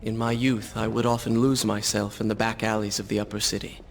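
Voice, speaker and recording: A man's voice: lowish voice, flat delivery, a little fast, creaky, a little hiss or hum. A man playing an earnest, brooding young warrior prince in a video game, solemn and dramatic. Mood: melancholy.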